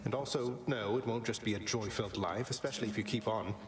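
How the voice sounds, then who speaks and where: An actor playing a cocky young prince of the gods in a video game, with a wry, nonchalant manner. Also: male voice, fairly low pitch, fast, lightly acted. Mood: firm, impatient. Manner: wry, nonchalant, politely cheeky. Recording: loud music behind the voice. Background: loud game music.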